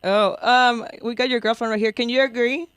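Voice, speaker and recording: A bubbly woman hosts a college radio show, teasing her guest and laughing easily. Her voice comes through smooth and clear, recorded close and dry on a studio mic. Spanish is her first language. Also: on the high side, fairly even intonation, brisk, fairly bright tone, no echo. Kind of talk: casual radio interview.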